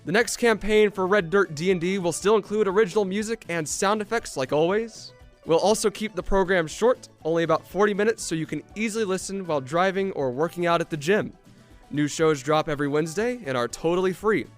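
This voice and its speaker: A man's voice: sits high, brisk, faint music behind. A man announcing a podcast in a scripted promotional read.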